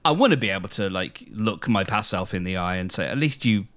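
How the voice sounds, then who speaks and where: A British man co-hosting a podcast in a casual chat, talking earnestly and haltingly, with some swearing. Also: low voice, expressive, a bit bright. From United Kingdom. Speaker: podcast co-host.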